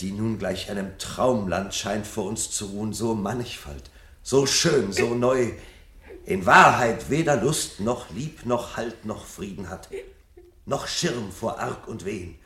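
A man's voice: lowish voice, a bit bright, heavily acted. An actor playing an agitated, bitter, rebellious fireman and husband in a 1970s radio play, speaking in an intense, declamatory way. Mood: solemn, mournful. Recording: roomy studio radio play sound.

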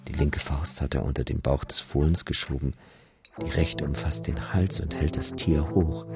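A grave man delivers a radio drama monologue, recalling in careful detail. His voice is extremely low with a creaky, gravelly grain, and music plays underneath. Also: steady tone. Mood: grave, haunted. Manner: deliberate, detailed recollection. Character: grave male narrator recalling a disturbing rural memory.

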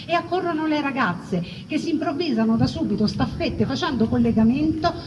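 Roman italian woman doing a storytelling monologue, highish voice, rhetorical questions and dry asides, noisy live recording. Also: animated, brisk, distant mic, highs cut off. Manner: emphatic repetition, rhetorical questions, wry colloquial asides. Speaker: storyteller performer. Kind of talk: theatrical historical monologue to an audience.

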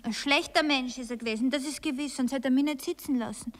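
Woman acting a coy young viennese girl in a radio drama, really high voice, naive chatter in dialect. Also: fast talker, natural voice. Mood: wistful, breathy. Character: coy, chatty nineteen-year-old Viennese working-class girl. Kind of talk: German-language radio play acting.

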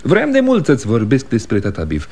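An actor playing an eager, concerned younger brother in a radio drama, with a lively, questioning manner. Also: male voice, mid-range voice. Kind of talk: 1960 Romanian radio theatre acting.

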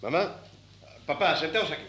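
Excitable young man played by an actor, bright and theatrical. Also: on the high side, normal speed, lightly acted. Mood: excited, bossy. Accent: Castilian Spanish. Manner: theatrical, excitable, stammers when flustered. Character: eager, bossy, vain young son in love. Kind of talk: 1970s Spanish radio play acting. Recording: hissy old cassette radio recording.

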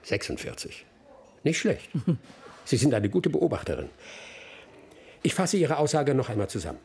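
An actor in a radio crime drama playing a patient middle-aged detective, questioning politely and methodically in a husky voice. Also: male voice, medium pitch, sing-song, moderate pace, a little mellow, exaggerated voice.

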